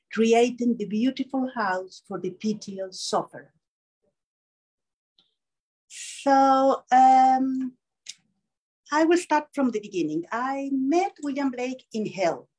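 A middle-aged woman from Mexico with a Mexican accent, a Spanish speaker giving a lecture in English from a prepared text, reading quotations slowly and carefully. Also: a little high, expressive, darkish tone. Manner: measured, reads quotations slowly. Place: seminar room with projection screen.

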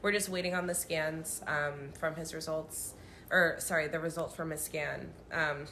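Young woman, selfie phone video, bubbly, bright forceful tone, faint background noise. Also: low voice, fairly even intonation, normal speed. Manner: bubbly, playful, drifting into soft confiding tones. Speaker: social media personality. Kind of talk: casual selfie video update. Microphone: phone camera held at arm's length.

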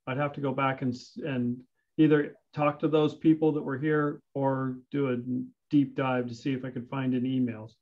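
Male staffer, fairly high voice, hesitant meeting talk, lo-fi call sound. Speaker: city public works staff member. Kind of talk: measured meeting discussion. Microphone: video call. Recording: dull, lo-fi video call audio.

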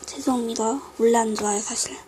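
A woman's voice: fairly high pitch, fairly even intonation, fast talker. A woman with a cold casually narrating a craft tutorial, apologetic and muttering self-critical asides. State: voice affected by a cold. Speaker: craft video host. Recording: noisy home recording with clicks.

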